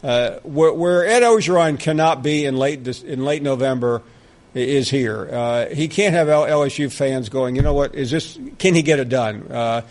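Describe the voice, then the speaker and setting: A middle-aged man with a Southern US accent, hosting a sports talk radio show and bantering with callers. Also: medium pitch. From American South. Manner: quick, animated, jokey banter with callers. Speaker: radio host.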